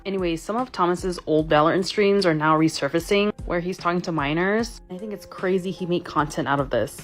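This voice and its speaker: Female recap narrator, deep for a woman, matter-of-fact, soft music underneath. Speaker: news recap narrator in a played clip.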